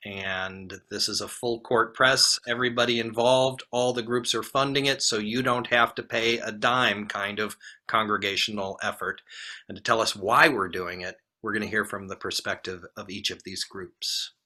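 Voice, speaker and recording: A man's voice: lowish voice, steady tone, moderate pace, sharp tone. A man making informal announcements to a congregation as its minister and host.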